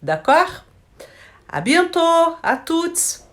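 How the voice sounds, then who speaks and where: Brazilian-accented woman vlogger, unhurried and halting, talking into a handheld phone, native Portuguese speaker working through French. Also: extremely high pitch, big pitch swings. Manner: hesitant, many restarts and fillers. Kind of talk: casual chat to camera. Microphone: phone held in hand.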